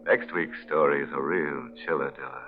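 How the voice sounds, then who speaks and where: A man's voice: a bass voice, steady tone, moderate pace, a little hiss or hum. A man hosting a 1940s radio horror show in a sardonic, mock-macabre manner. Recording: narrowband 1940s radio recording with music.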